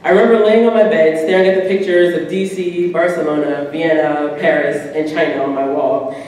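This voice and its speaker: A woman in her twenties with an American accent gives a prepared personal speech as a guest, telling stories in an animated way. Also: low voice, fairly quick. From United States. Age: 20s. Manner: animated storytelling with acted-out quotes. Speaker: guest speaker. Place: indoor banquet room at a podium. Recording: echoey hall from far off, faint noise.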